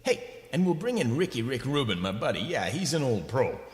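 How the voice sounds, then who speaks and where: A man acts out a slick, greedy record boss from a comic radio play. His pitch leaps all over as he pushes and wheedles like a salesman. The sound is echoey, with long reverb. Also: big pitch swings, natural voice. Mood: pushy, excited. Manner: fast-talking salesman patter with wheedling asides. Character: slick, pushy, greedy male record company executive.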